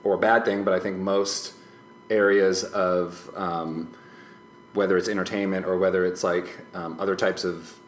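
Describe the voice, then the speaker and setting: Low-voiced man, tentative, in echoey room. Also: flat delivery, moderate pace. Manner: hesitant, tentative, rambling with hedged phrasing. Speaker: podcast guest, musician. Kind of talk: casual reflective chat. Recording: roomy echo, faint background noise.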